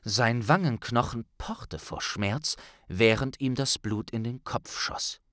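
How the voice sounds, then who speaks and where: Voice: mid-range voice, takes it slowly, a bit bright, dry recording, studio quality. An audiobook narrator reading a dramatic scripted story.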